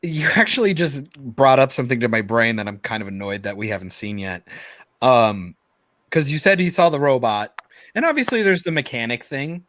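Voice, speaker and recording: A man with a mid-pitched voice chats casually on a review panel. He is blunt and colloquial with a teasing edge, and his sound is dry and close. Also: animated, normal speed, a bit bright, no echo. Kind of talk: casual chat.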